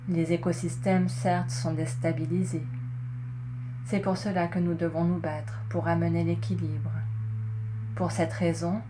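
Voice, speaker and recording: A woman guiding a relaxation session in a soothing, measured way, pausing between short phrases as she narrates. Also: fairly low pitch, flat delivery. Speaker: yoga nidra guide. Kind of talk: guided relaxation, scripted narration. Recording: clearly noisy, ambient tones behind. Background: meditation music with singing bowl tones.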